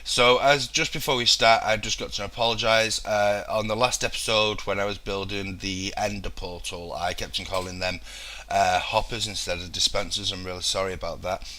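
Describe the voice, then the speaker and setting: A man's voice: fairly low pitch, steady tone, normal speed, pushed and bright, slight background noise. A young man with a throat infection, rambling through casual let's-play commentary with lots of ums and restarts.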